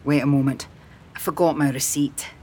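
Woman voicing a low-key, gallant gentleman. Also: steady tone, moderate pace, breathy edge. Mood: calm. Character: Mac, calm, gallant, teasing handsome gentleman. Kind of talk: audiobook character dialogue.

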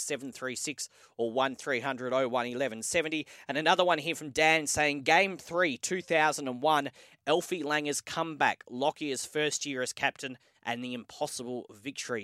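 Australian sports radio host, dry studio. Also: male voice, mid-range voice, fairly bright tone, no echo. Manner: brisk, reads texts and weather, repeats phone numbers. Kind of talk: radio sports news and phone-in chat. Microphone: studio microphone.

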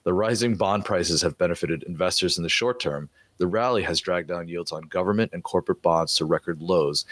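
Brief: deep-voiced male co-host, hoarse from a cold, relaxed steady reader, dry mic. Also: no echo. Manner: easygoing, steady reading with small stumbles. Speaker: guest co-host, blogger. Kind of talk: casual podcast chat and reading an article aloud. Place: home office.

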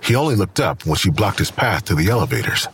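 A man's voice: low voice, big pitch swings, fast, slightly rough. A man reading scripted third-person narration for an audio drama.

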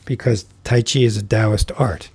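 A middle-aged man guesting on a podcast, telling a reflective story in an unhurried, thoughtful way with many fillers and restarts. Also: fairly low pitch, steady tone, a little mellow, slightly rough, dry recording. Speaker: podcast guest, tai chi teacher.

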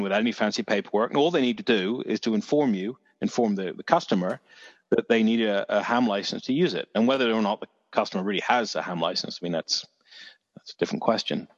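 A man's voice: lowish voice, brisk, no room sound, long reverb tail, dull audio. A man with a Dorset accent telling stories in a casual chat, rambling with many restarts and the odd swear word. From England.

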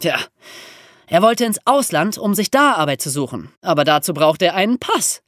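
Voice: mid-range voice, very animated, normal speed, fairly bright tone, no room sound, studio quality. A podcast presenter explaining history as a story, with dramatic emphasis and rhetorical questions.

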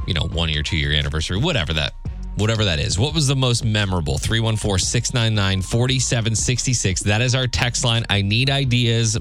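A man's voice: on the low side, fairly bright tone. A middle-aged man co-hosting a morning radio show with casual, wry banter, speaking close to a studio microphone.

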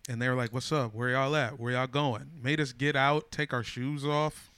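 A comedian in his thirties telling animated, slangy stories in a casual chat. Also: medium pitch, normal speed, clean tone, no room sound. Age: 30s.

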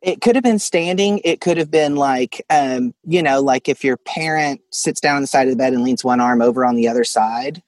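A man's voice: medium pitch, a little fast, few pauses, no echo. A man from Arkansas calling in to tell a personal story in a casual, chuckling way. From Arkansas, United States. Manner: chuckles through his story. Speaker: caller telling a personal ghost story. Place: closet at home.

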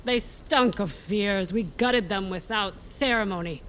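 A woman's voice: medium pitch, measured pace, a bit bright, a little hiss or hum, natural voice, poor audio. A woman playing a fierce raid leader. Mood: proud. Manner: blunt, proud reporting.

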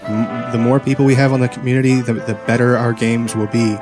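Casual man hosting over clear music. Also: medium pitch, flat delivery, a little fast. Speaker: podcast host. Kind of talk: casual group chat.

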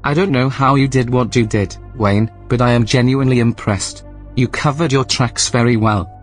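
A man playing a controlling station AI in a sci-fi audio drama, with a medium-pitched male voice. He is coldly polite and sardonic, choosing each phrase deliberately to threaten. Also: fairly even intonation, raised voice, soft background music, close to an everyday voice. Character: coldly menacing, controlling male artificial intelligence. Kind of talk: science-fiction audio drama acting.

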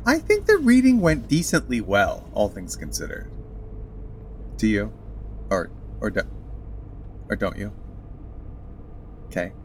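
A man's voice: on the low side, big pitch swings, a little slow, long pauses, darkish tone, a little hiss or hum, low-quality recording. A man playing an anxious, earnest boyfriend placating his partner in a comedic audio drama. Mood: nervous.

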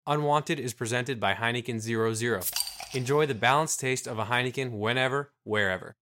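A man's voice: medium pitch, fairly quick, pushed and bright, a little hiss or hum. A man reading scripted sponsor and show announcements.

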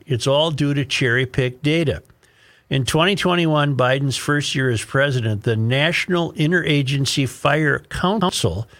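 A man's voice: medium pitch, fairly bright tone. An American man hosting a talk show, reading an article aloud and adding emphatic, opinionated commentary with repeated phrases.

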